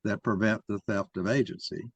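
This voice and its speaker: A man's voice: on the low side, fairly even intonation, brisk, creaky, slightly rough, no echo. A man moderating a mock trial discussion and arguing his own view as he goes. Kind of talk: argumentative discussion.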